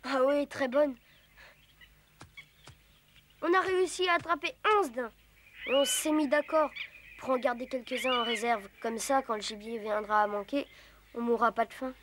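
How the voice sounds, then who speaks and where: A woman's voice: sits high, normal speed, pauses often, a bit bright, a little hiss or hum. A woman voicing an earnest, youthful jungle boy raised by wolves, in a French cartoon dub. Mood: proud.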